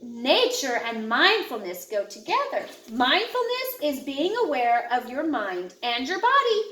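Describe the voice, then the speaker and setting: A woman with a high voice narrates a children's picture book. She takes her time, guiding young listeners in a measured, instructional way. The recording sounds roomy and echoey. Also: sing-song, sharp tone. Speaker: audiobook narrator.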